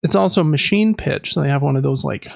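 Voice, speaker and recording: Creaky-voiced man, casual podcast host. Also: on the high side, a little fast, no echo. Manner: rambling, self-deprecating. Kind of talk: casual chat about games and life.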